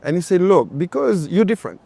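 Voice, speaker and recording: A man's voice: high voice, big pitch swings, brisk, gravelly, mellow. A man from Cameroon and France answering as an interview guest in conversation, often checking his points with "right?". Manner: punctuates points with tag questions like "right?". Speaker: interview guest, finance entrepreneur.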